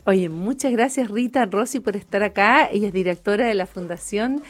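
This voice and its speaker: A woman's voice: mid-range voice, moderate pace. A woman co-hosting a radio show, chatty and laughing often. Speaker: radio co-host. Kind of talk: casual radio chat.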